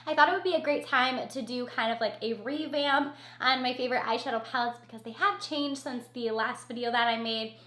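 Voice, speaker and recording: A woman's voice: on the high side, fairly quick, few pauses, sharp tone, reverberant. A woman beauty vlogger with a cold and a scratchy, sniffly voice, chatting casually and gushing about her favorites, repeating words for emphasis. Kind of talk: casual product review chat.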